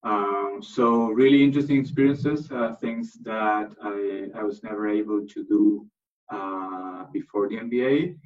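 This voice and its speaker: A man's voice: on the low side, steady tone, measured pace, distant mic, muffled recording, faint music behind. A young man from Chile answering interview questions, speaking hesitantly with many fillers and restarts. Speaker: interview guest, MBA graduate.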